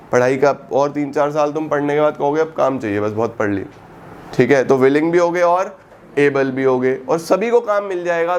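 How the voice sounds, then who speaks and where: Fairly high-voiced economics teacher. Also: male voice. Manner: asks the class questions and answers them himself; mixes Hindi and English terms. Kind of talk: recorded classroom lecture.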